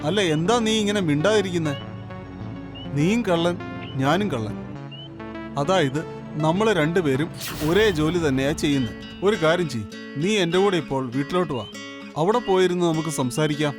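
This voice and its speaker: A man voicing a brash male thief who boasts and coaxes in an animated storybook, over music. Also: on the high side, normal speed, long pauses, natural voice. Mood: cocky. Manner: boastful, coaxing. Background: background music.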